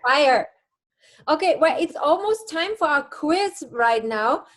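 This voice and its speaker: A woman's voice: high-pitched, lively intonation, slow. A woman hosting a casual chat show and putting quiz questions to a guest. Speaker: talk show host.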